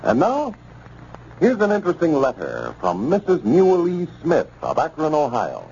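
A male radio announcer reads a sponsor message in a measured, formal voice. His pitch rises and falls dramatically. The sound is a dull, hissy 1930s transcription. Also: wildly swooping pitch, vocal fry, darkish tone. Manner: measured, formal, sincere delivery.

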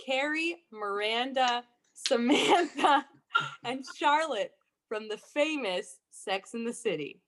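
A woman's voice: fairly high pitch, expressive, takes it slowly, a bit bright. A woman doing improvised comedy, dropping in narrator-like asides.

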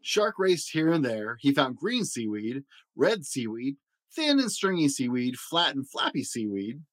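A man narrating a children's picture book in an expressive, dramatic storytelling manner. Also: mid-range voice, a little slow, no echo. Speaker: story reader.

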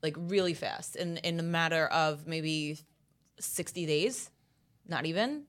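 A woman in her thirties from the USA, whose first language is Italian, talking as a podcast guest in a lively, wry, self-mocking way. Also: deep voice, a little slow. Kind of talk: casual interview chat.

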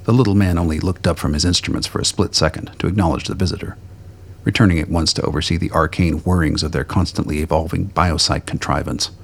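Male narrator for audio drama: extremely low, husky voice, scripted dramatic storytelling. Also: fast talker.

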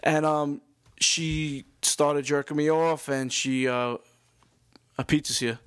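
A man's voice: on the high side, fairly even intonation, slow pace, fairly bright tone, a little hiss or hum. A young man with a New York accent, rambling through frank stories in a casual chat and steering the talk.

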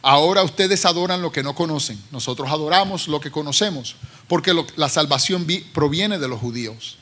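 A middle-aged Puerto Rican man preaching and reading scripture aloud. His voice is bright and a little higher than most men's. He talks with friendly asides and frequent small restarts. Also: fairly quick. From Puerto Rico. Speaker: preacher from a seminary team.

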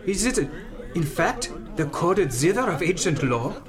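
A man's voice: high-pitched, big pitch swings, unhurried. A man playing a menacing but grandly eager foreign militant leader in a comic radio play, with flowery, formal speech. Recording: music and crowd behind the voice.

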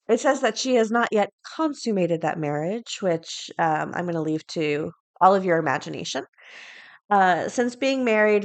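A woman's voice: mid-range voice, fairly bright tone. A woman hosting a podcast solo, talking casually and chattily, with informal asides and items read aloud. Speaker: podcast host.

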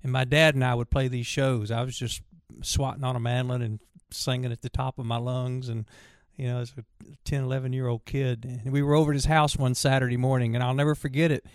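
A man's voice: medium pitch, little pitch movement, a little fast, hardly any pauses, smooth, dry recording, clean studio sound. A man, a musician telling stories in a folksy, rambling way with many restarts. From Virginia, USA. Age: 60s. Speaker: bluegrass musician guest. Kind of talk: casual storytelling interview.

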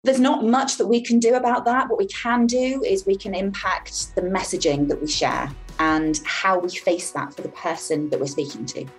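A woman's voice: mid-range voice, normal speed, few pauses, faint music behind, poor audio. A woman communication coach speaking in an articulate, emphatic way about how people take in information. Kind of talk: interview talk, explaining.

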